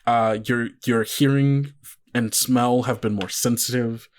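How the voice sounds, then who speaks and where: A man working as game master, explaining rules and narrating scenes in an informal, halting way. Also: mid-range voice, fairly even intonation. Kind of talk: casual actual-play narration.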